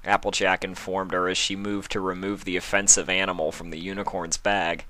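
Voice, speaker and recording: A man's voice: deep voice, level tone, a little fast, bright, forceful tone, rough recording. A man reading scripted story narration as the narrator of a fan fiction.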